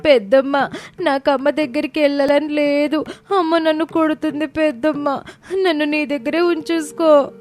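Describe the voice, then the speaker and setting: A woman's voice: high-pitched, steady tone, moderate pace, darkish tone, soft background music, natural voice. A woman voicing a frightened, tearful small child in a family story, whimpering and pleading for comfort. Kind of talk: dramatized Telugu family story acting.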